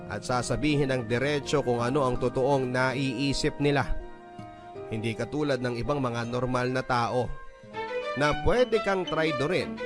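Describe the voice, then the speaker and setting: A man narrating a listener's letter in an earnest, measured storytelling manner for a radio drama. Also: mid-range voice. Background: guitar music.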